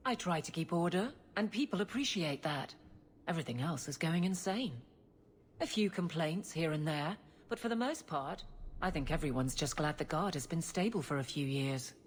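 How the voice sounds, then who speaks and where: An actress playing a stern, dutiful captain of the city guard in a video game, brisk and authoritative. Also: female voice, low voice, expressive. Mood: firm, businesslike. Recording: faint background noise.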